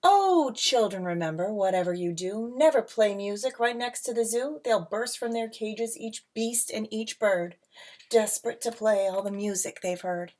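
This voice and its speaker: A woman reading a picture book aloud to children as a teacher, with dramatic emphasis, drawn-out words and casual asides. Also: medium pitch, a little mellow. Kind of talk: expressive storybook read-aloud with casual asides. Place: at home.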